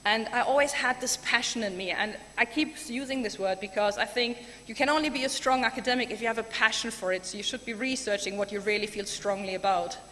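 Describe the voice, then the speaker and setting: A woman's voice: on the high side, fast, hardly any pauses, sharp tone. A woman from Germany giving a chatty, enthusiastic keynote speech with many ums. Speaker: keynote speaker. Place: large conference hall. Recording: hall reverb, faint room noise.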